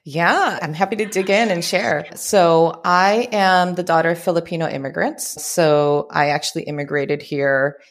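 Low-voiced woman guest, laughing often. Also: moderate pace, hardly any pauses. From California, US. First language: Tagalog. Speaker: life and leadership coach, guest. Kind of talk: casual interview chat.

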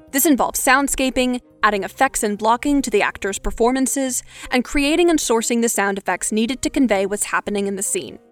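Brisk instructive woman host. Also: fairly high pitch, fairly bright tone. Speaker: podcast host. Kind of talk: scripted explainer.

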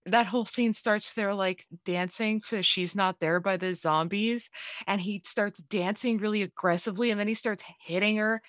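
A woman's voice: medium pitch, fairly even intonation, moderate pace, a bit bright, dry recording. Female podcast co-host, mid-range voice, dry close sound, loose chatty delivery. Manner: chatty, with frequent "like". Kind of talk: casual movie discussion.

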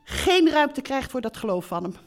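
Fussy, animated middle-aged mother. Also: high-pitched, moderate pace. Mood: bossy, cheerful. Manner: theatrical, chatty, motherly. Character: bossy, fussy, warm-hearted mother running a tiny holiday park. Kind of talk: live audio drama acting. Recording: live theatre recording with music.

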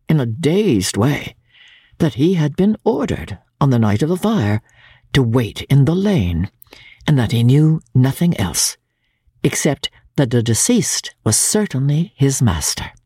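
Expressive man narrating, slightly husky, very dry sound. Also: mid-range voice, dry recording. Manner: measured, formal, expressive storytelling. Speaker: audiobook narrator. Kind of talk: dramatic literary narration of a classic novel, first person.

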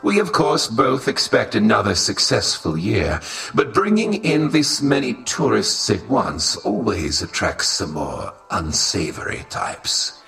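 A cold robot advisor to royalty in an audio drama, low and gravelly, with a roomy echo. He is formal and measured, condescending under his courtesy. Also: big pitch swings, fairly bright tone, recorded from a distance, exaggerated voice. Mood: courteous. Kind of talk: scripted audio drama acting.

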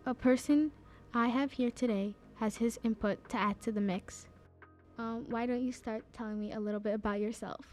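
Mellow-voiced young woman interviewer. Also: a little high, fairly even intonation, normal speed, faint music behind. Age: young adult. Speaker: youth interviewer. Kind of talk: scripted interview questions and narration.

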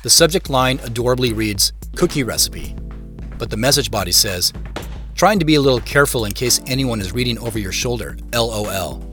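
A man's voice: medium pitch, expressive, a little fast, fairly bright tone, lightly acted. An actor playing a reflective man who narrates his own story in a scripted first-person style. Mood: dry, matter-of-fact. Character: reflective man recounting his dreams and his search. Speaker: narrator and main character of an audio drama. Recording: music playing behind the voice. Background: music.

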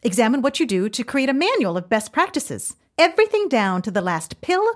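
Self-assured government minister: crisp, formal and bossy, highish with expressive pitch, comedy audio drama. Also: female voice, brisk. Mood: officious.

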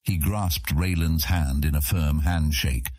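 Casting: male storyteller for fantasy audiobook, rumbling bass with a rough husk, close dry studio. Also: measured pace, a little mellow, no echo. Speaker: audiobook narrator. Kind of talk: scripted fantasy narration.

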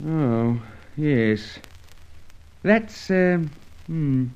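A man's voice: on the high side, lively intonation, very slow, a little mellow, clean tone. A man playing a cheeky, wisecracking working-class sidekick in an old radio drama, slangy and droll. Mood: cheeky, puzzled. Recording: dull old radio recording.

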